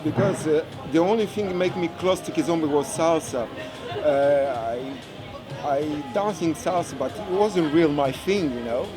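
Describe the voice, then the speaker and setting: A man from Angola, a kizomba teacher speaking haltingly in an interview, searching for his words. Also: on the high side. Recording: music and crowd behind.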